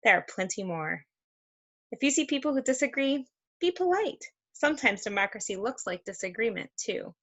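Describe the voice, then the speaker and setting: A woman reading a picture book aloud to young children, expressive and encouraging, with conversational asides. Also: a little high, moderate pace. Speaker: read-aloud host for children.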